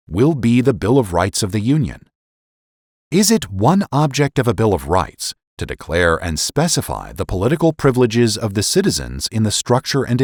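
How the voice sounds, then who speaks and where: Low-voiced man reading with dramatic swings. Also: dry recording, studio quality. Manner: formal, oratorical, emphatic. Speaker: podcast host and narrator. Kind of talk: dramatic reading of a historical political essay.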